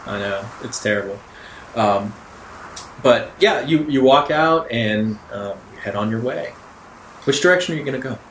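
A man's voice: medium pitch, normal speed, fairly bright tone, a little hiss or hum. A man running a tabletop roleplaying game, improvising narration in a conversational, amused way. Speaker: game master. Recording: roomy echo with faint music. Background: faint music.

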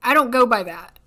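A woman in her thirties, hoarse today, chatting casually and thinking aloud as she streams a life-sim game from a desk at home. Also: high voice, fairly bright tone. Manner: chatty, thinking aloud, frequent little laughs. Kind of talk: casual gameplay commentary. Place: home room, seated at desk.

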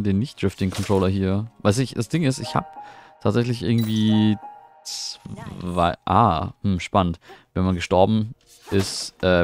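A middle-aged man streams a game from home, with a very deep, gravelly voice. He rambles, talks to his chat and sounds easily puzzled by what happens on screen. Soft game music plays underneath. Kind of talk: casual live gameplay commentary. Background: faint game music.

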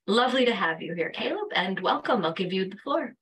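Distant woman host with a bright voice. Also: medium pitch, very animated, slightly rough. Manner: brisk, reads out credentials. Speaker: host introducing a guest speaker. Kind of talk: reading a formal introduction. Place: small indoor room. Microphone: video call. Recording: distant from the mic.

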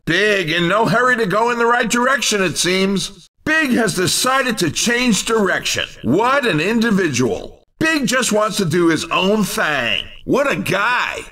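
An actor playing an excitable, wisecracking male commentator in a racing video game, with a playful sports-announcer delivery. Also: high-pitched, distant mic, heavily acted. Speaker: race commentator.

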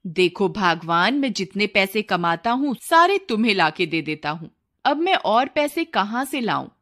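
A woman's voice: mid-range voice, very animated, a little slow, fairly bright tone. A woman voicing a humble, patient milkman husband in a children's cartoon story, pleading and resigned in manner. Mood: weary, pleading.

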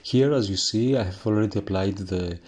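A man from Greece with a thick Greek accent, a painting teacher talking through his work in a halting way and often searching for words. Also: on the low side, darkish tone. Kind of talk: instructional voice-over while painting.

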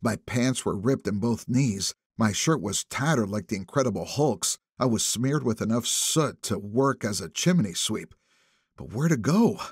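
A man narrating a thriller audiobook in the first person, with expressive, suspenseful phrasing. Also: lowish voice, a little slow, husky, dry recording, clean studio sound.

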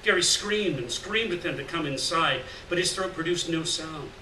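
A middle-aged man reads fiction aloud to the room in a deliberate, dramatic way. His voice sits a little high. The recording is echoey and somewhat distant, with clear noise. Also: lively intonation, sharp tone. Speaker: reader of short fiction. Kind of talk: live literary story reading, scripted narration. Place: indoors at a lectern in a gallery room. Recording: roomy, distant, noisy live room recording.

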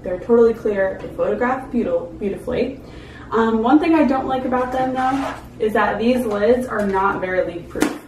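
A young adult woman giving a casual, chatty product review to camera in an informal way. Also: medium pitch. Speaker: food vlogger reviewing products. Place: small indoor room, seated at a table. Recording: roomy, distant mic with clear background noise.

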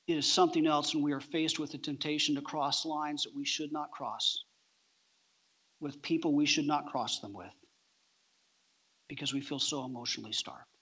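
A middle-aged man preaching a sermon on a church stage, emphatic, with deliberate pauses between short phrases. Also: on the high side, fairly even intonation, sharp tone, breathy edge. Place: large church auditorium, on stage.

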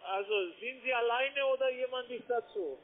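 A man with a very high voice and a foreign accent presses on with a sales call. His phrasing is halting and non-native, and he comes through a thin, tinny telephone connection. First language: not German. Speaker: call-center telephone trader. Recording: tinny narrowband phone line.

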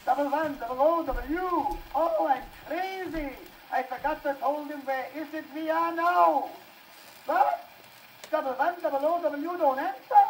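A man's voice: very high-pitched, measured pace, slight background noise, rough recording. A man playing a flustered middle-aged immigrant father and shopkeeper, hollering into a telephone in broken in a comic monologue. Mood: flustered pleading. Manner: flustered, mangled English, hollering into the phone.

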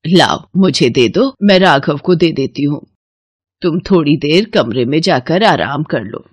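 A woman's voice: low voice, lively intonation, unhurried, breathy edge, dry recording, full character voice. Woman playing a scheming bossy older mother-in-law. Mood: falsely caring. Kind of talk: animated moral story dialogue.

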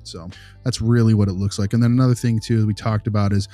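Man playing an easygoing consultant, mellow low voice. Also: little pitch movement, fast, soft background music, close to an everyday voice. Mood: explaining. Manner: informal, hedging, reassuring. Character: easygoing male behavior analysis consultant advising a parent. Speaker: podcast role-player. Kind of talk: casual role-played consultation.

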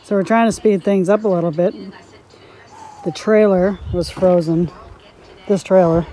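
Chatty woman vlogger, slow and rambling with lots of giggles, phone audio outdoors with loud wind. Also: on the low side, fairly even intonation, rough recording. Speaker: homestead vlogger. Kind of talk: casual handheld vlog chat. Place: outdoors in a windy snowy yard. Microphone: phone camera held at arm's length.

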